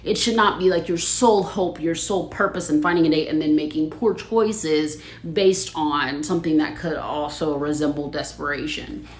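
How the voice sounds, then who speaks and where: A woman's voice: sits low, moderate pace, echoey room. A woman hosting a casual livestream, chatting with viewers, laughing often and reading their comments aloud. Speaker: livestream host.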